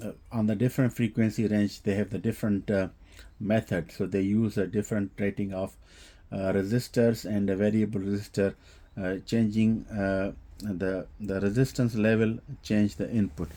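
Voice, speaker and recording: A man giving an unscripted technical product demonstration, explaining haltingly with frequent hesitations and repeats. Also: fairly low pitch, little pitch movement, dark tone. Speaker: host demonstrating audio equipment.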